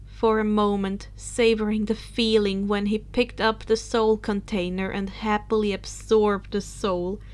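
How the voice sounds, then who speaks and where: A woman's voice: medium pitch, level tone, measured pace. A woman reading a story aloud as a narrator, now and then stumbling over a word. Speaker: audiobook reader of fan fiction.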